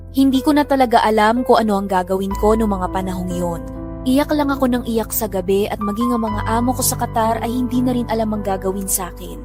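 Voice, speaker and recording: Woman as worried mother narrator, Filipino accent, middle pitch, sorrowful first-person storytelling, background music. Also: natural voice.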